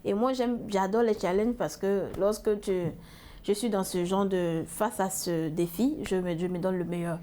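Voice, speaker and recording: Halting young woman searching for words. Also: medium pitch, steady tone, warm tone, a little hiss or hum. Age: young adult. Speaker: panel guest. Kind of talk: panel discussion.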